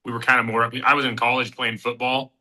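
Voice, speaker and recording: A man in his thirties from Kansas City talks loosely as an interview guest. His voice is very bright and ringing at a middling pitch, and he rambles through fillers and restarts. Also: little pitch movement, quick pace. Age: 30s. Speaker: guest, bare-knuckle fighter. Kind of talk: casual interview chat.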